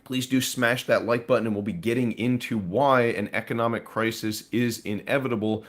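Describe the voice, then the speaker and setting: A man with a hoarse, raspy voice hosting a live stream, talking through market news casually to viewers. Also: mid-range voice, fairly even intonation, moderate pace, a bit bright. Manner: conversational, with frequent fillers and asides to viewers. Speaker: host of a precious metals live stream.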